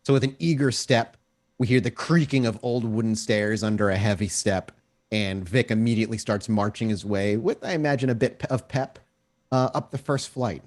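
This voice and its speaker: A man's voice: on the low side, moderate pace, a little mellow. A man working as game master, improvising descriptive scene narration in a conversational way for a tabletop game.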